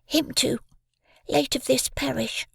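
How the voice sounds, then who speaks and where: Give me a terrified polite little boy, as a man puts him on in a high thin storybook voice, dry and close. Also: steady tone, slow, vocal fry, fairly bright tone, slightly rough, no echo, exaggerated voice. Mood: frightened. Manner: timid, pleading, very high falsetto.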